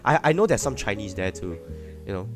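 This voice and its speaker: A man's voice: lowish voice, lively intonation, brisk, music in the background. A Singaporean man with a Singaporean English accent, chatting casually as a podcast guest, rambling and hedging with "you know". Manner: rambling, repeats words, hedges with "you know".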